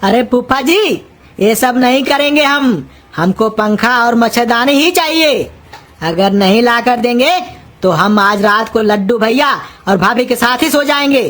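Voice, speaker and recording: Woman voicing a high-pitched cheeky scheming young man. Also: expressive, a little slow, fairly bright tone, soft background music. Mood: whiny, protesting. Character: cheeky, scheming young male pumpkin-nicknamed servant. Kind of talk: Hindi cartoon comedy voice acting.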